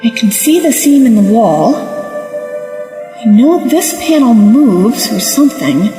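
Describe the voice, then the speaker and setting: A woman's voice: a little high, sing-song, slow pace. An actress playing a wry, confident woman investigator who talks to herself in an audio drama. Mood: wry, musing. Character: wry, confident, self-reliant female amateur investigator. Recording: roomy, long reverb, music behind.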